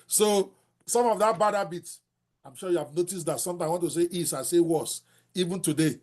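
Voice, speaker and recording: An older Nigerian man with a Yoruba accent, teaching a book-writing class in a blunt, animated way and dropping in Yoruba phrases. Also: high-pitched, brisk. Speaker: host.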